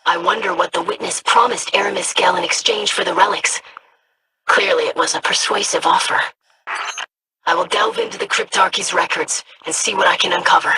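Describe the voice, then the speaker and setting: A woman voicing a measured, studious young alien scholar in a video game. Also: lowish voice, very animated, vocal fry, noisy background. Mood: thoughtful. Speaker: researcher.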